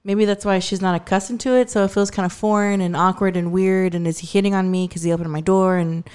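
A woman's voice: medium pitch, flat delivery, quick pace, a little mellow, clean tone. A woman from Texas with a Southern twang, chatting casually on a podcast with many "like" fillers. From Texas, USA. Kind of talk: casual chat.

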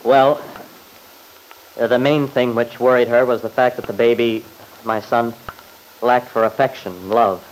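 A man's voice: mid-range voice, steady tone, measured pace, long pauses, natural voice. Man acting earnest young witness, old noisy radio. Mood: earnest, sincere. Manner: earnest, respectful, slightly hesitant. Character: earnest, polite young father on witness stand. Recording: noisy vintage radio recording.

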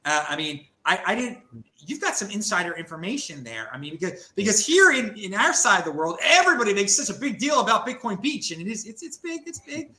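Lively high-pitched guy hosting a chat, stumbles and restarts a lot, echoey room. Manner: halting, many restarts and "dude". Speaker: podcast host. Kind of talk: casual panel chat.

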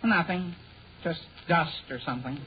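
A man's voice: on the high side, moderate pace, natural voice. An actor playing an evasive, eager, flustered American teenage boy in a 1940s radio sitcom, with a hesitant manner. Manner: hesitant, stammering when cornered. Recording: noisy, dull 1940 radio recording.

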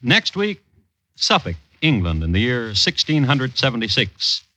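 A man's voice: mid-range voice, pushed and bright, no room sound, heavily acted. A man playing a dry, precise crime-story chronicler and host, narrating formally and wryly in 1950s radio style. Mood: wry, courteous. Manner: measured, wry, formal storytelling. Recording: dull old radio recording.

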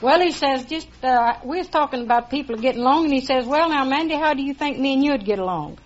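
A woman's voice: sits high, quick pace. An older woman with a Texan accent chatting as a contestant in a plain, folksy, matter-of-fact way. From Texas, United States. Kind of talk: plain-spoken folksy conversation. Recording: noisy muffled vintage radio, loud audience. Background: loud studio audience.

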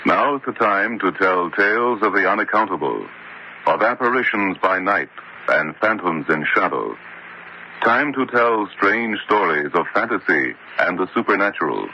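A man's voice: deep voice, expressive, moderate pace. A man announcing a radio horror drama in a formal, portentous scripted style. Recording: dull, hissy old radio recording.

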